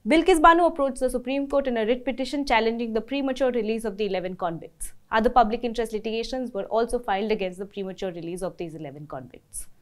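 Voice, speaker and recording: A young woman in her twenties reading weekly legal news from a script in a measured, formal way, her voice falling at the end of sentences. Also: a little high. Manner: measured, formal delivery, voice dropping at sentence ends. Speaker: news presenter. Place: dark studio.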